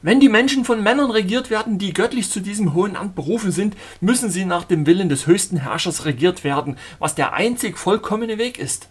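Man doing a fervent ranting preacher, husky, pitched high and loud, audiobook. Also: lively intonation, brisk, hardly any pauses. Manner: shouting, declamatory. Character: fanatical zealous male preacher, ranting.

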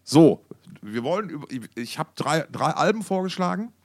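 A man's voice: on the high side, big pitch swings, normal speed. A middle-aged man co-hosting a music podcast in casual, unscripted chat, enthusiastic and colloquial, often repeating his words.

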